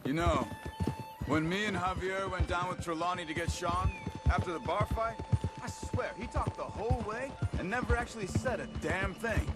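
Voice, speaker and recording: An actor as a calm outlaw tracker in a western game. His voice sits a little high and he speaks in a measured, understated way under loud music and horse sounds. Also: lightly acted. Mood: steady. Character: calm, observant male Wild West outlaw tracker. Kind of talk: dramatic video game acting.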